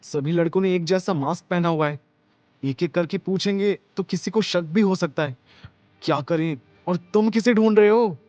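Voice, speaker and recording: A man's voice: high-pitched, normal speed, darkish tone, no room sound, voice pushed up, natural voice. A man voicing an indignant, prudish young woman with a huffy, scolding manner in an audio drama. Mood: puzzled, impatient.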